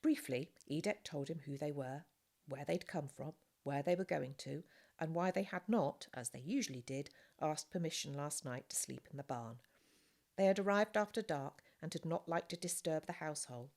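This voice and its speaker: A woman reading a children's novel aloud as expressive scripted narration. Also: deep voice, moderate pace. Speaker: audiobook reader.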